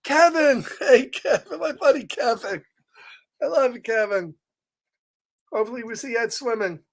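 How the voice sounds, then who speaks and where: A man's voice: very high-pitched, normal speed, vocal fry. A man hosting a live picture-book reading for children, chatty and exclamatory, often breaking off to ask listeners questions. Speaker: children's story reader and host. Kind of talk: live picture-book read-aloud with casual asides.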